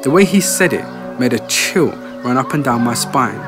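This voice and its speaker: A man's voice: mid-range voice, expressive, moderate pace. Male horror story narrator, guitar music behind. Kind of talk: scripted first-person horror story narration. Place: home studio.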